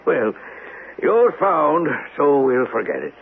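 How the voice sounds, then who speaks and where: An actor playing a folksy, fatherly police sergeant in a 1970s radio drama, with a halting, mildly chiding manner. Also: fairly high pitch, big pitch swings, creaky, exaggerated voice. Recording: noisy, tinny old radio broadcast recording.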